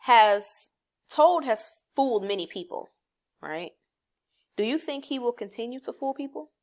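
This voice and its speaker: A woman's voice: a little high, expressive, unhurried, darkish tone. Woman teacher leading a children's reading lesson. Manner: asks questions and answers them herself; encouraging, simple explanatory phrasing. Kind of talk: read-aloud lesson addressed to children.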